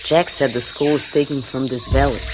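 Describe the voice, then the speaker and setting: An actress playing an earnest, caring companion in a radio drama, speaking directly. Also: female voice, a bass voice, fairly even intonation, a little fast, over loud music. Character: caring, practical female companion. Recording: jungle ambience with insects and birds.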